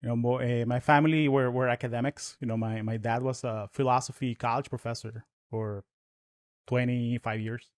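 A man in his thirties whose first language is Spanish, talking fast with many restarts as a guest in a casual podcast interview. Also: mid-range voice, steady tone, no echo, clean studio sound. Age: 30s.

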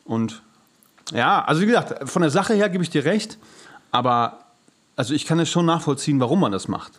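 A man's voice: fairly high pitch, animated, brisk, a bit bright, slightly rough. A man chatting casually as a podcast co-host, colloquial, conceding points and then countering them.

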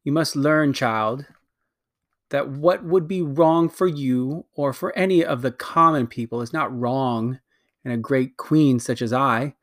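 A man voicing a proud, ruthless queen with a slow, stately, commanding delivery. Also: medium pitch, no echo, studio quality, lightly acted. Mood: imperious.

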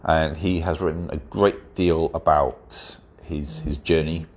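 Male podcast host, rumbling low and a little rough, blocked nose, unhurried and wry. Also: fairly even intonation. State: congested, stuffy-nosed from hay fever. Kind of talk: solo podcast commentary.

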